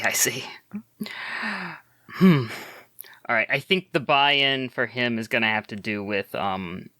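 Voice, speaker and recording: A man's voice: lowish voice, sharp tone, clear, resonant tone, no room sound, clean studio sound. A man playing in a tabletop game, who laughs often and hesitates with many fillers. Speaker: player guest. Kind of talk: actual-play tabletop game talk.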